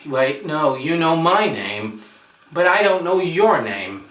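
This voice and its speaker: Echoey distant recording, man playing a gentle dreamy barfly, theatrical monologue, hesitant, rambling, mid-pitched. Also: sing-song, full character voice. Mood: friendly, rambling. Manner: hesitant, rambling, halting with repeated words. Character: gentle, dreamy, friendly bar-going man with an imaginary friend. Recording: echoey room, distant microphone.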